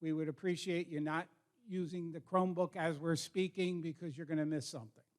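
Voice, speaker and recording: A man's voice: on the high side, steady tone, brisk, a little mellow. A man presenting as a school counselor, informal but direct and instructive as he addresses students and parents. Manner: direct, instructive, questions the audience. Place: school meeting with an audience.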